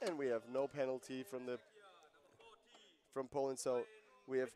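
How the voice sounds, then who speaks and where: A man's voice: medium pitch, flat delivery, normal speed, warm tone. A man giving live play-by-play commentary on a lacrosse game, conversational and explaining rules and player backgrounds between plays.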